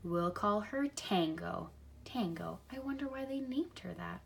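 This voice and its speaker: A woman reads a picture book aloud for young children, expressively, pausing to add simple comments of her own. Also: mid-range voice, animated, creaky, slightly rough. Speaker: storybook reader.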